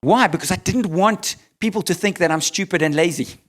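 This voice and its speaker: A South African man preaching in English, pausing for an interpreter, telling candid, self-deprecating stories. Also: sits high. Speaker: pastor. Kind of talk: sermon with live interpreter.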